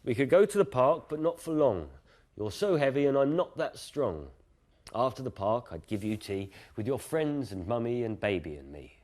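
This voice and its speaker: A man's voice: mid-range voice, very animated, normal speed, a little mellow, close to an everyday voice. A man voicing a small, bossy and imaginative girl in a children's picture-book story, in a firm, matter-of-fact way. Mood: firm, caring.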